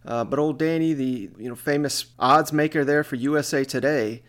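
A man hosts a sports podcast in a casual, conversational style. His voice sits at an average pitch for a man, and he talks as if to a co-host across from him. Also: moderate pace.

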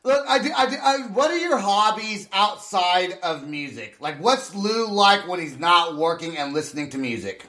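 A man's voice: high voice, big pitch swings, moderate pace, few pauses, sharp tone. A man from California hosting a podcast, chatting casually and joking, with frequent laughs and repeated words. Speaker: podcast host. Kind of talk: casual chat.

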